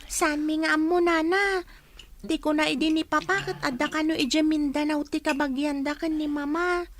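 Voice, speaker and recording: A woman's voice: sits high, fairly even intonation, moderate pace, slight background noise, lightly acted. A woman voicing a plaintive, hungry young orphan girl in an audio drama. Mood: earnest. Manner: plaintive, childlike. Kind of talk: audio drama acting in Ilocano.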